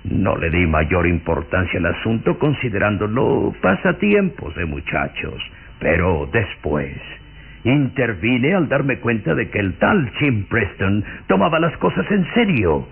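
A man's voice: lowish voice, very animated, unhurried, vocal fry, husky, heavily acted. An actor playing a pompous, scornful old aristocratic father in a radio drama. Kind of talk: Mexican radio drama acting. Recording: muffled old radio recording.

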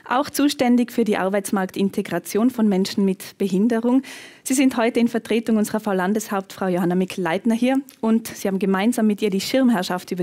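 A woman in her thirties with a Tyrolean Austrian accent, hosting an event on stage in a lively, chatty, self-joking way. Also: medium pitch. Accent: Tyrolean Austrian German. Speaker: event host, TV presenter. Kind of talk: event moderation. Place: conference hall on a stage.

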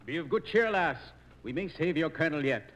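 A man's voice: fairly high pitch, lively intonation, a little fast, a bit bright. An actor playing a reassuring sergeant and father in a 1940s radio operetta. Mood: reassuring, conspiratorial. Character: reassuring father, an old-time English sergeant. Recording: dull, slightly noisy 1940s radio recording.

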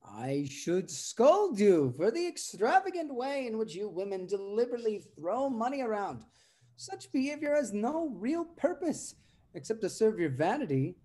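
A man's voice: high-pitched, big pitch swings, moderate pace, a little mellow. A young man acting a pompous husband who scolds women, in a comedy read over video call. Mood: scolding, irritated. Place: room at home, indoors. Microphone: video call microphone.

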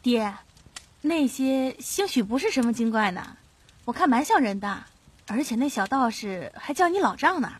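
A woman's voice: on the high side, lively intonation, normal speed, slightly rough. A woman voicing a deferential young mother and daughter-in-law in an audiobook, coaxing and pleading as she talks. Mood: timid reasoning. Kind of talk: audiobook character acting.

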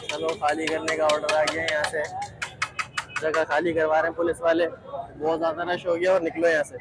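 A man's voice: on the high side, monotone, a little fast, very noisy. A young man chatting casually as he films himself walking through a crowded outdoor bird market, asking sellers about prices. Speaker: vlogger. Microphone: handheld phone at arm's length.

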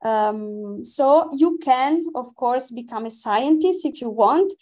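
A woman's voice: fairly high pitch, animated, slow pace, dark tone. A young woman speaking as a guest on an online webinar, hesitant, with many restarts and fillers. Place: indoor room, video call.

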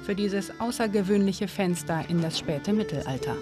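A woman narrating a documentary and interviewing, measured and clear. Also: mid-range voice. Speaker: documentary presenter. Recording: music bed under voice.

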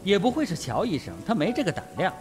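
Sardonic colonel played by a male actor for a radio whodunit: higher-pitched, very animated, needling and self-possessed. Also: fast. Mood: coolly probing. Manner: mocking, provocative. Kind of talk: Mandarin radio mystery drama acting.

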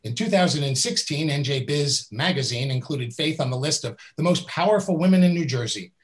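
A man's voice: a little high, a little fast. A middle-aged man hosting a video-call interview, reading a guest introduction in an upbeat, admiring way. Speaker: interview host. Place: indoors with a virtual background.

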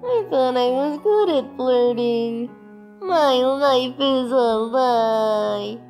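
A high young voice acted by a woman for an anxious cartoon kid. The words drag slowly with lovesick, mopey worry, with music behind. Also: a little mellow, heavily acted. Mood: glum. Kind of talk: comic dub character acting.

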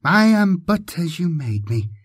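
A man's voice: high-pitched, sing-song, unhurried, husky, dry recording, clean studio sound, pitched above the performer's own voice, exaggerated voice. A man voicing an eerie, sad female mutant who speaks in cryptic prophecy, as an audiobook character. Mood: insistent. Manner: cryptic, portentous.

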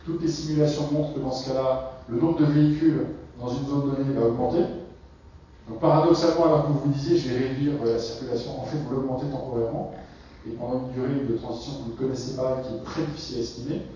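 A middle-aged man gives a keynote, his mellow voice picked up from far off in a large, echoing conference hall. He talks in a halting way, full of filler pauses. The sound is dull and lo-fi, with a faint hum of room noise behind him. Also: mid-range voice, steady tone. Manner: hesitant, many filler pauses. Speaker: keynote speaker. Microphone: far 360 camera in the hall.

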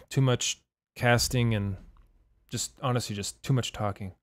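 A young man, hoarse from a long session, chatting loosely with his live-stream viewers about the game and reading their chat. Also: mid-range voice, fairly even intonation, measured pace, no echo, clean studio sound. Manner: laid-back, swears casually, reads chat aloud. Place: home room at night.

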